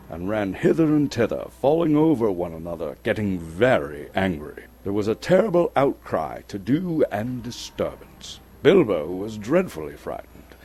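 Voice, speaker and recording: A man from Canada giving a dramatic, suspenseful storybook narration with theatrical, deliberate phrasing. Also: lowish voice, mellow, a little hiss or hum. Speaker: actor reading a story aloud. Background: music.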